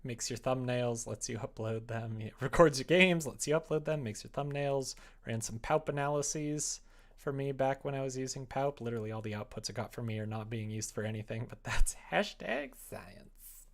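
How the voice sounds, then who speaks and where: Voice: medium pitch, moderate pace. A streamer chatting casually and informally, often acting out little imagined exchanges in conversation. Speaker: streamer chatting while making a visual novel. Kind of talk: casual livestream chat.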